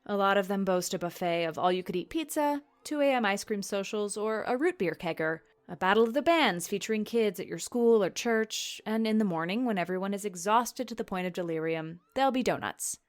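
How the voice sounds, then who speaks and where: A woman's voice: medium pitch, animated, a little fast, hardly any pauses, lightly acted. An actress playing a sardonic, anxious young woman who narrates a confessional podcast drama in a conversational, sweary way. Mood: wry, nostalgic. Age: young adult. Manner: wry, sarcastic, sweary. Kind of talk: confessional scripted monologue, conversational.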